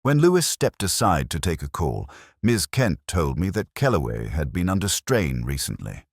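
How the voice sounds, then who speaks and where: A man narrating a scripted first-person detective story in a measured, brooding, literary manner. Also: low-pitched, very animated, no room sound, studio quality.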